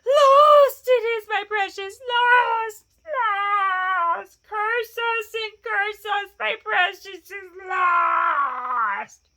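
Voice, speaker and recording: A woman's voice: extremely high pitch, very slow, pushed and bright, pitched above the performer's own voice, exaggerated voice. A woman voicing a wretched, wicked male cave creature in a storybook, with a hissing, whispery voice that breaks into shrieks, muttering obsessively in broken grammar. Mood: frantic, wailing. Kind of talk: storybook character voice.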